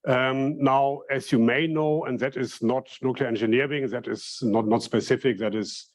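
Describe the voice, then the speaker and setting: A man who directs a study program, welcoming new students in a friendly, halting way with many restarts. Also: mid-range voice, steady tone. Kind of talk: casual welcome talk.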